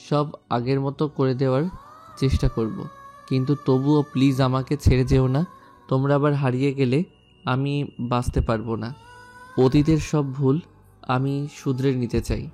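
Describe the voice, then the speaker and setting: A man playing an intense, lovelorn husband and father, a powerful mayor, with slow, earnest romantic drama phrasing. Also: medium pitch, darkish tone, natural voice. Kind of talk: romantic audio drama acting. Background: background music.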